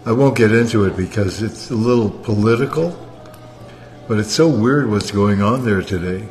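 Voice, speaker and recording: A man's voice: on the low side, normal speed, a little mellow, long reverb tail, faint music behind. A man in his eighties from Canada, chatting casually and rambling, often ending sentences with "okay?". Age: 80s. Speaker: guest of honor on a family livestream. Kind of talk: casual chat.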